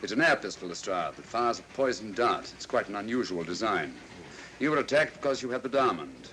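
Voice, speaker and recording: An actor playing a composed English gentleman detective, crisp and self-assured, in a 1940s mystery drama. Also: lowish voice, expressive, a little fast. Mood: analytical. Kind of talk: 1940s film mystery acting. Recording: hissy old film soundtrack.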